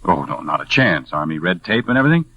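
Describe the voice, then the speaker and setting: An actor in a 1940s radio drama playing a charming, confident, playful young fiancé. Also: male voice, low voice, sing-song, fast, slightly rough, full character voice. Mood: breezy, confident. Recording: muffled vintage 1940s radio recording.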